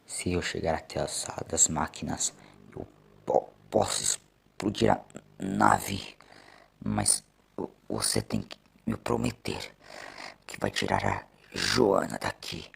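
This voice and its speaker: A man voicing a wounded, self-sacrificing husband and father in an audio drama, grave, strained and halting. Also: low voice, very animated, vocal fry, husky, heavily acted. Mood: urgent, pleading.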